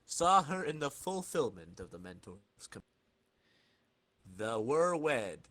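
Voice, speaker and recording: A man's voice: medium pitch, very animated, takes it slowly, fairly bright tone, no room sound. A man hosting a podcast, narrating a comic dramatic reading and bantering with others.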